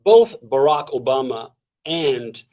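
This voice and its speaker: Energetic high-voiced man, halting restarts. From Canada. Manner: animated, halting with many restarts and fillers. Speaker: guest speaker. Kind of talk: casual interview talk.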